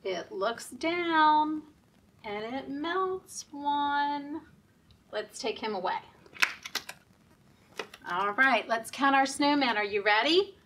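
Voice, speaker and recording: A woman leading story time for young children in a sing-song, deliberate, encouraging way, often asking the children questions. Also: sits high, animated, a bit bright, far from the mic, a little hiss or hum.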